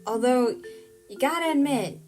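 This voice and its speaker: A woman voicing an energetic, enthusiastic female news director who rambles briskly in a comedic audio drama. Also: high voice, pitched above the performer's own voice, lightly acted. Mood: apologetic, teasing. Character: booming, energetic female lead news director, bossy but friendly. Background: music bed.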